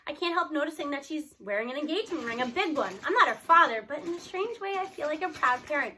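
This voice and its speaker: Woman, high bright voice, expressive storybook narration, faint background noise. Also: fast. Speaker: reader of a children's novel. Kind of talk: expressive read-aloud storytelling.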